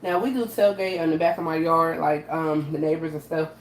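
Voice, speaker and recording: A woman with a raspy voice from allergies, hosting a casual live cooking demo and chatting to viewers with frequent "okay?" asides. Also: deep voice, fairly even intonation, a little fast. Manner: chatty, repeats "okay?" to her audience. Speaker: host of a live cooking stream. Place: home kitchen. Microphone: camera she adjusts by hand. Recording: roomy echo, faint background noise.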